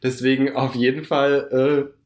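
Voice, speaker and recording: A man's voice: mid-range voice, flat delivery, a little slow, a little mellow. Chatty man with a cold, echoey room. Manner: chatty, self-mocking, mixes in English words. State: has a cold. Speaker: podcast co-host. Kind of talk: casual chat.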